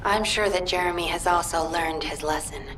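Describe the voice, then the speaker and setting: A woman playing a dutiful military operations officer in a video game, speaking in a formal, measured, earnest way. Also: on the low side, a bit bright, slight background noise, close to an everyday voice, low-quality recording. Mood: earnest, confiding. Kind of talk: video game mission briefing.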